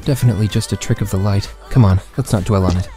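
A man playing a young boyfriend who is secretly a vampire, casual, smooth and evasive in a roleplay story. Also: on the low side, fast, dark tone, lightly acted. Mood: dismissive. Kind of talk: scripted roleplay story voice acting. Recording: music behind.

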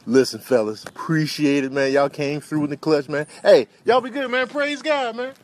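A man's voice: a little high, lively intonation, normal speed, a little hiss or hum, close to an everyday voice. A man voicing a brash, chummy monkey with a casual, cheeky way of talking in a comedy dub. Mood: grateful, chummy. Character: brash, chummy male monkey shaking down tourists.

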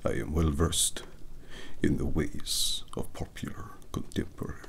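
Melodramatic vampire prince, half-whispered and very slow, grandiose ASMR monologue. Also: male voice, rumbling low, vocal fry, full character voice. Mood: pompous, flustered. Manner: theatrical, halting, grandiose. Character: pompous, melodramatic male vampire, exiled crown prince.

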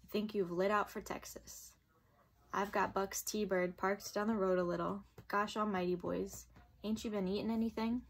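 Woman reading as a husky, brusque young hoodlum. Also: mid-range voice, fairly even intonation, normal speed. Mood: gruff, concerned. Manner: slangy, brusque. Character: rough, teasing, streetwise teenage male hoodlum in a novel.

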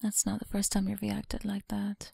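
A woman voicing a mean, teasing tsundere college bully in an intimate ASMR roleplay, creaky and often dropping into breathy whispers. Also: medium pitch, completely flat delivery, normal speed, mellow, dry recording, clean studio sound. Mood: domineering, teasing.

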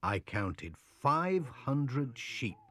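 A man voicing a gentle, sleepless village postman who narrates his week slowly and simply for a children's read-along storybook. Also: medium pitch, pitched above the performer's own voice, full character voice. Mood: weary storytelling. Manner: simple, deliberate, expressive delivery.

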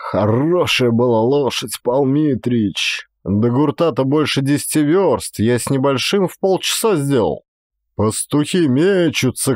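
A man acting a slow-talking, sly Cossack rogue. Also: medium pitch, darkish tone, no echo, studio quality. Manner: serious, unhurried rustic folk speech. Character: stolid, sly peasant Cossack daredevil, playing innocent. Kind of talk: dramatised audiobook character acting.

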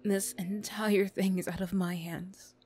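A woman playing a defensive teenage school bully girl who reluctantly opens up, prickly and halting, in an emotional ASMR roleplay. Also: medium pitch, fairly even intonation, warm tone, husky. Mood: despairing, confiding. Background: music.

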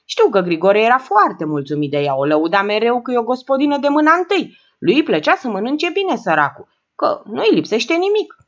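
Woman reader plays whining elderly aunt, rambling, wide pitch swings, muffled lo-fi. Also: big pitch swings. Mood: plaintive. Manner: plaintive, rambling. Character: frail old woman, tremulous and whining.

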